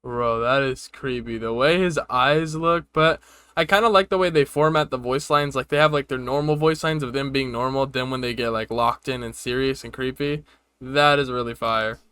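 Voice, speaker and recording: A man's voice: medium pitch, moderate pace, a bit bright, clean tone, no echo. A man hosting a reaction video with casual, slangy, informal commentary.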